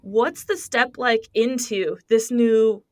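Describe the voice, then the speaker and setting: A woman's voice: fairly high pitch, fairly even intonation, takes it slowly, a little mellow, dry recording, clean studio sound. A woman hosting an interview, talking casually and rambling a bit with lots of "like". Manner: casual, rambling, heavy use of "like". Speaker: podcast host.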